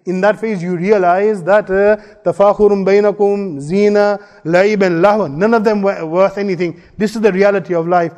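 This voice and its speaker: A man's voice: sits high, fairly even intonation, normal speed, hardly any pauses, a little mellow. A man, the imam, giving an earnest Friday sermon in a mosque, repeating key phrases and quoting Arabic verses. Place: mosque prayer hall. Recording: long hall reverb.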